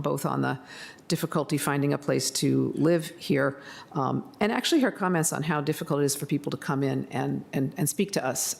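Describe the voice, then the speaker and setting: An American woman on a county board, giving hesitant remarks at a public hearing, her voice gravelly, often clearing her throat with many ums. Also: low voice.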